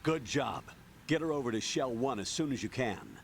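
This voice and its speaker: A brisk male commander. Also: medium pitch, animated, creaky, a little hiss or hum. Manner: brisk instructions. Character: male commanding officer, instructive over radio.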